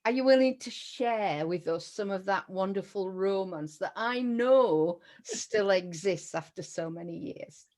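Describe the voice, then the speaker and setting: A woman's voice: medium pitch, a little slow, few pauses. An older woman with a Yorkshire accent, hosting a casual interview chat over a video call, chatty and effusive. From Yorkshire, England. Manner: chatty, warm-hearted and effusive, with frequent laughs. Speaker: interview host.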